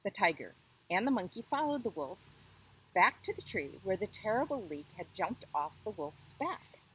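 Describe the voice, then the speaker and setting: A woman storyteller reading a children's tale expressively, with deliberate, dramatic phrasing. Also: mid-range voice, big pitch swings, breathy edge, poor audio.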